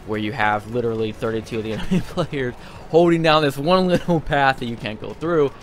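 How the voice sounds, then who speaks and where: A man's voice: medium pitch, expressive, brisk, slight background noise. A man giving casual video game commentary in a rambling, hesitant way, often repeating himself.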